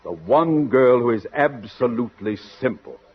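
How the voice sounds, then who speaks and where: An actor playing a haughty, world-weary publicity counsel in a 1940s radio comedy, speaking in florid, formal phrases. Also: male voice, medium pitch, lively intonation, moderate pace, a little hiss or hum, lightly acted. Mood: grand, weary. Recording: dull old radio recording.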